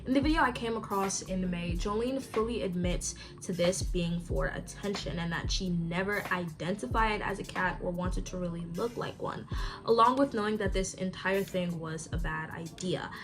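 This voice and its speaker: A woman's voice: on the low side, normal speed. A woman narrating a scripted commentary in a wry, opinionated way. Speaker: commentary youtuber. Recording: voice-over with music behind.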